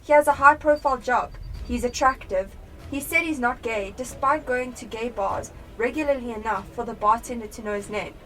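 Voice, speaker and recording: A young woman playing a sharp, determined police detective in a radio drama. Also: fairly high pitch, moderate pace, distant mic, low-quality recording. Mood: focused, deducing. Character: sharp, determined female police detective piecing clues together. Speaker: student actor in a school radio play.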